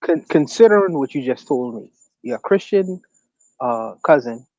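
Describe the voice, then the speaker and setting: A man with a Bahamian accent, hosting a casual livestream discussion and asking provocative questions in an animated way. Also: medium pitch, a little slow, no room sound. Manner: animated, provocative questions, laughs at own points. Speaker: podcast host. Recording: dry, dull lo-fi mic.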